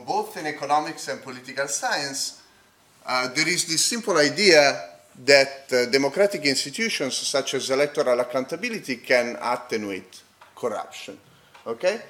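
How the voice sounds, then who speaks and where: A man with a non-native accent presenting an academic paper at a conference. He speaks haltingly, with fillers and restarts, and checks in with the audience using tags like "okay?". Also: mid-range voice, animated, a bit bright. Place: conference hall.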